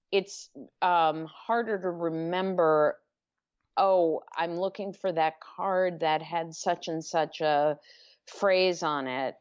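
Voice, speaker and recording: A woman author being interviewed, speaking thoughtfully with pauses and self-corrections. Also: fairly low pitch, lo-fi sound. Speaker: author guest. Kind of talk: podcast interview.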